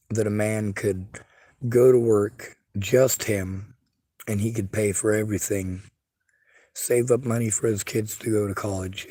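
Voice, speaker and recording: A man speaking in a blunt, lecturing livestream monologue and reading viewer chat. His voice is husky and sits fairly low, with a stutter and a speech impediment. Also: mellow, no room sound. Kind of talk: casual opinionated monologue.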